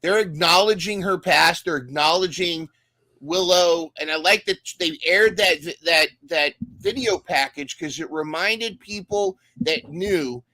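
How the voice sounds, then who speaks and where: A man's voice: a little high, unhurried, sharp tone, no room sound, poor audio. A man co-hosting a casual recap podcast, talking enthusiastically and often restarting his sentences. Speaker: podcast co-host.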